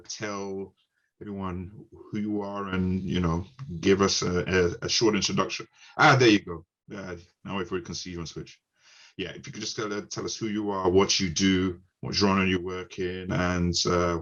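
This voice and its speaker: A man with a Ghanaian accent informally moderating an online panel in a halting, casual, apologetic way. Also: low-pitched, a bit bright, highs cut off.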